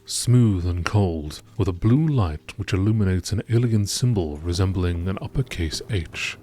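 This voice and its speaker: Low-voiced man narrating documentary style. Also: animated, unhurried, warm tone. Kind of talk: scripted nature documentary-style reading of game lore.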